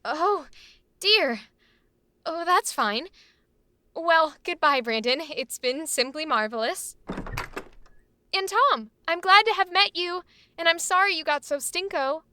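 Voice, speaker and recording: A woman's voice: extremely high pitch, animated, slow pace, long pauses, bright, forceful tone, full character voice. A woman playing a chirpy, sociable young guest in an old-time radio drama recreation, breezy and theatrical. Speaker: radio play actor.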